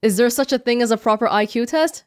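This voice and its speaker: A woman's voice: a little high, fast, a little mellow, clean tone, no room sound. A young woman from Canada answering viewer questions in a casual vlog, chatty and self-mocking, speaking close to the microphone. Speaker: YouTuber. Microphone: close studio mic.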